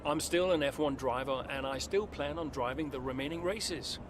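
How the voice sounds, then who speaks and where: A man playing a composed veteran racing driver in a video game, answering press questions in a measured, polite way. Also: mid-range voice, background noise, natural voice. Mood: guarded. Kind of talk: press interview in game cutscene.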